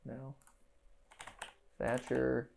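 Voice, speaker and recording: A man's voice: mid-range voice, monotone, very slow, creaky, a little mellow, a little hiss or hum. A man in his thirties hosting a casual livestream, reading aloud and muttering half-finished thoughts as he works through a puzzle. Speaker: livestream host. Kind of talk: casual live puzzle-solving commentary, thinking aloud. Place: indoor room, livestream set.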